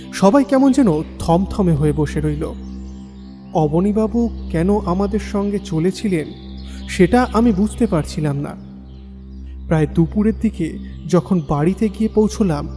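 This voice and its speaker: A man acts a troubled young man telling his eerie tale in the first person. His voice is a little high and mellow, delivered dramatically in a long reverb over a faint music bed. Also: natural voice. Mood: suspenseful recounting. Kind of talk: dramatic first-person horror story narration.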